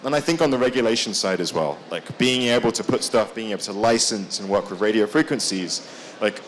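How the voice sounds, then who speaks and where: A man's voice: on the low side, lively intonation, a little fast, slight background noise, low-quality recording. A man, a startup founder, speaking casually at a conference, packing his sentences with "like" and "kind of". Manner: casual, with frequent "like" and "kind of" fillers. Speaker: startup founder on a showcase panel. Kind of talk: conference talk. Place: conference stage.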